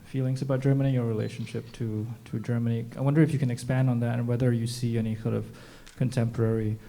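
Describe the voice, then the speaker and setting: A man moderating a post-screening Q&A, asking long, measured questions with the occasional filler. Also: medium pitch, flat delivery, vocal fry, warm tone.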